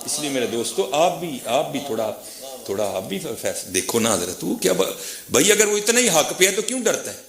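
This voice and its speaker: A man's voice: a little high, sing-song, normal speed, crowd noise. Scornful male preacher in an echoey space. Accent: Pakistani Punjabi. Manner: scornful, taunting, repetitive. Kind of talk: fiery informal sermon. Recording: echoey, roomy sound.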